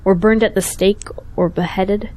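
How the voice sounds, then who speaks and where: A woman's voice: medium pitch, fairly even intonation, moderate pace, dark tone, close to an everyday voice, rough recording. Woman acting as a devout, earnest young believer. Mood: dismayed, searching. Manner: earnest, morally insistent. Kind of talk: audio drama dialogue.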